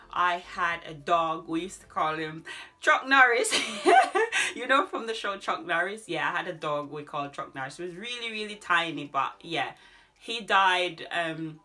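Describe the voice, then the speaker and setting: A woman in her thirties with a Jamaican accent, chatting casually to camera in an animated way as she answers questions. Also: lowish voice, moderate pace. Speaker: YouTube vlogger. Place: small furnished room at home.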